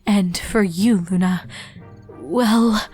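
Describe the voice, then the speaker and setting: A woman's voice: medium pitch, a little mellow, breathy edge, soft background music, pitched below the performer's own voice. A woman voicing a regal, stern but caring older-sister pony princess, speaking formally in a comedic story. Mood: sheepish, apologetic. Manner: measured, formal, commanding. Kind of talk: comedic fan fiction voice acting.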